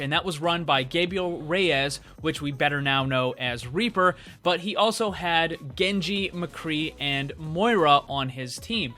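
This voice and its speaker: High-pitched, bright-voiced man hosting gaming commentary. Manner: conversational, explanatory, addresses viewers directly. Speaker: video game news host. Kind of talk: casual commentary and speculation for viewers. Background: background music.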